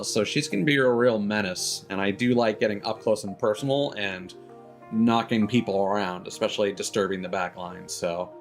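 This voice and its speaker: A man doing casual, rambling livestream chat as a game streamer, with chuckles here and there. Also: fairly low pitch.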